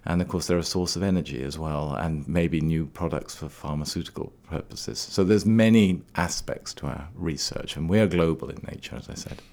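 A middle-aged man from Trinidad who later lived in Scotland, explaining things as an interview guest in a measured, articulate way. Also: extremely low pitch, vocal fry, a little mellow. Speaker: research laboratory director, interview guest.

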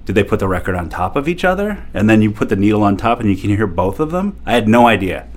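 A man in his forties from Japan, a DJ guest telling stories in an animated, laughing way with lots of fillers. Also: fairly low pitch, fast. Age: 40s. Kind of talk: casual interview storytelling.

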